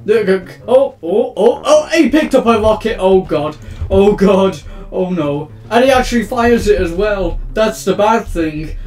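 Excitable young man narrating a game. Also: high-pitched, unhurried. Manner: excitable, rambling, panicky exclamations. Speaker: gaming YouTuber. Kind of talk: casual gameplay commentary. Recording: roomy, distant mic with background music.

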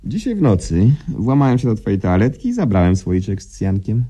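An actor playing an absurd, dryly deadpan husband who bickers unhurriedly with his wife in a radio comedy sketch. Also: fairly low pitch, brisk, slightly rough. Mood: dry, smug.

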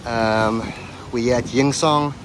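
A man's voice: medium pitch, steady tone, slow, background noise. A young man from South Africa chatting casually while taste-testing food for a vlog, laid-back and slangy. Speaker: vlogger. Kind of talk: casual food tasting chat. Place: restaurant, then home living room.

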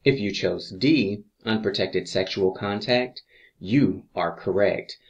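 Slow-paced, low-voiced male quiz narrator. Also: creaky, dull audio. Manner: measured, deliberate reading with pauses between answer choices. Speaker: instructor reading review quiz questions. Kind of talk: scripted exam review narration.

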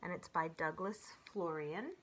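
A woman in her forties reading children's poems aloud and chatting between them in a gentle, expressive way, as a storyteller speaking to young children. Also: on the low side, moderate pace. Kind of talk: read-aloud of children's poems with casual commentary. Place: small furnished room at home, indoors.

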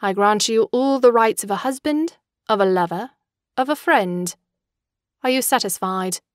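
A woman's voice: medium pitch, a little slow, no echo, clean studio sound, close to an everyday voice. An actress playing a fickle, imperious young woman in an audio drama, poised and articulate, with a mocking manner. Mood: commanding.

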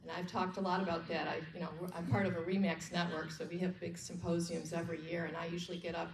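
An American woman with a lowish voice addressing a conference session informally, heard from back across an echoey room. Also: level tone, fast talker. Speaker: commercial real estate broker, speaker. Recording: echoey room, distant mic, background noise.

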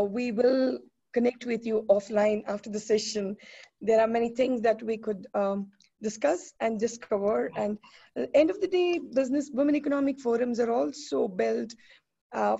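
Effusive woman moderator, husky and fry-tinged, dull video-call sound. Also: a little high. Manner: warm, effusive, halting with fillers. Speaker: panel moderator. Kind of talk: online panel moderation. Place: indoor room. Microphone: laptop webcam on video call. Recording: muffled video call audio.

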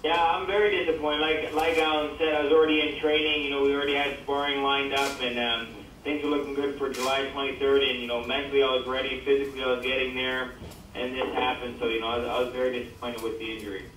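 A man's voice: mid-range voice, flat delivery, fast talker, fairly bright tone. A man casually answering press questions on a conference call through a speakerphone. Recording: very echoey speakerphone call, distant, faint noise.

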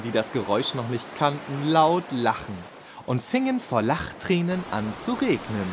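Slow, expressive male storyteller. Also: mid-range voice, noisy background. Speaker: narrator. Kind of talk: scripted children's bedtime story narration.

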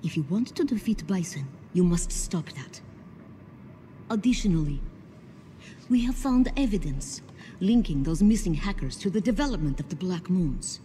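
An actress playing a poised, calculating secret organiser in a video game, speaking in a composed, formal and persuasive way. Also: female voice, fairly low pitch, animated, moderate pace, dark tone, lightly acted. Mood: grave, persuasive. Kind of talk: video game cutscene voice acting. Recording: reverberant hall, faint background noise.

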